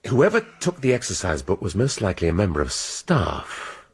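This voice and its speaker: An actor playing a dry, erudite middle-aged police inspector in a radio drama, wry and precise. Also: male voice, on the low side, wildly swooping pitch, normal speed, breathy edge, full character voice. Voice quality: wry, precise, dryly ironic.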